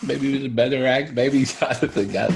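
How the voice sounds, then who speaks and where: A man's voice: medium pitch, fairly even intonation, brisk, creaky, slight background noise. A man in his sixties interviewing casually, rambling and repeating his phrases as he starts. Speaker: podcast interviewer.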